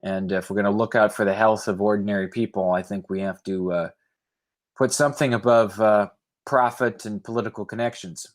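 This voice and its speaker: A man reading news stories aloud in a measured way, with informal commentary. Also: lowish voice, steady tone, dry recording.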